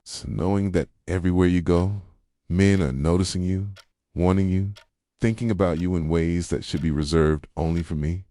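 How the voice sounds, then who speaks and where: A man playing a jealous, possessive yandere boyfriend in an intimate ASMR roleplay, speaking softly and tenderly and slipping into whispers. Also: steady tone, moderate pace, dry recording, clean studio sound, close to an everyday voice. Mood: anxious, intense. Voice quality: soft, low, slipping into whispers. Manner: tender, brooding, confessional.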